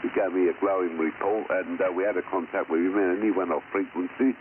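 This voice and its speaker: Older retired guy on a ham radio net, very low voice, rambly, tinny radio sound with hiss. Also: fairly even intonation, a little fast. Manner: rambling and hesitant, spells call signs phonetically. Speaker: amateur radio operator. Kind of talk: amateur radio net chat. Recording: tinny shortwave radio with static.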